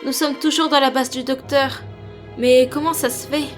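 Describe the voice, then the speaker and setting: A woman's voice: sits high, fairly even intonation, moderate pace, soft background music, lightly acted. A woman playing a confident, analytical female agent in a cartoon fan dub. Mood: focused, puzzled.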